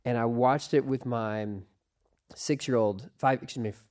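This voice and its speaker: A woman's voice: rumbling low, steady tone, normal speed, a little mellow. A woman hosting a podcast interview, chatting casually and joking freely with her guest. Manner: playful, joking, loose and chatty. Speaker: podcast host. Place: studio with headphones.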